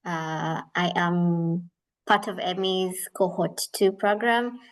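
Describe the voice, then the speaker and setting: A woman from Kenya, a founder speaking in a measured, thoughtful way on a panel. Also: fairly low pitch. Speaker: founder of a women-run craft enterprise. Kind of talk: panel discussion.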